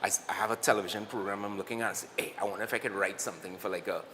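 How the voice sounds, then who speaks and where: A man with a Trinidadian accent, a guest on a morning TV show, telling animated stories and acting out what people said to him. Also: on the low side, racing, big-room sound, slight background noise. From Trinidad and Tobago. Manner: animated storyteller, acts out quotes. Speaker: guest, cyclist and singer. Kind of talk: casual interview chat.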